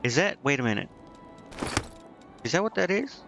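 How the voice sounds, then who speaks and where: A man's voice: on the high side, big pitch swings, normal speed. Man muttering through gameplay, music behind. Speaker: video game streamer. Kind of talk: casual gameplay commentary, thinking aloud.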